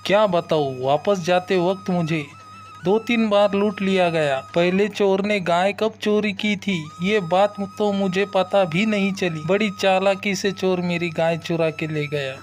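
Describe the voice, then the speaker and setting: A man's voice: high-pitched, a little slow, soft background music. A man voicing an aggrieved merchant father in a cartoon story. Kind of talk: Hindi cartoon story dubbing.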